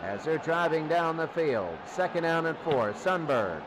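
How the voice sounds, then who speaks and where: A man's voice: fairly high pitch, big pitch swings, measured pace. An American man calling a football game play by play as a live radio announcer. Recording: noisy game broadcast with crowd roar. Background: loud stadium crowd.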